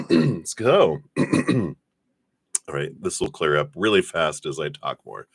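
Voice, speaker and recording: A man hosting a tarot livestream in friendly, rambling chat, with congested sinuses, clearing his throat often. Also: sing-song, slow pace, dry recording. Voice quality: low voice.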